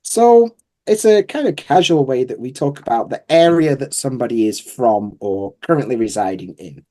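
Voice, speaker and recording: Yorkshire man with a mellow, lively voice. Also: medium pitch, moderate pace. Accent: Yorkshire English. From Yorkshire, England. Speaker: guest, English teacher. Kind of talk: casual conversational interview.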